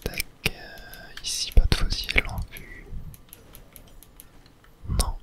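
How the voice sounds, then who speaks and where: Casting: ASMR gaming host, breathy close-up whisper throughout, casual unhurried running commentary. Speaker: host playing a video game. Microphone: close microphone.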